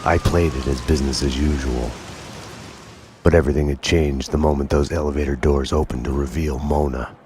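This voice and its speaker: An actor playing a haunted, world-weary police detective who narrates a noir game in a brooding, deliberate, poetic way. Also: male voice, rumbling low, steady tone, darkish tone, slight background noise. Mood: weary, grim. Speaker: narrator and main character. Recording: music behind the voice.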